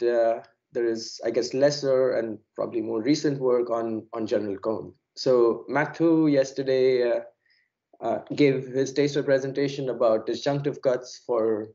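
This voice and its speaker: A hoarse, unwell man giving an academic lecture over a video call, halting with many fillers and repeated words. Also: medium pitch, dark tone, dull audio. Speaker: plenary speaker.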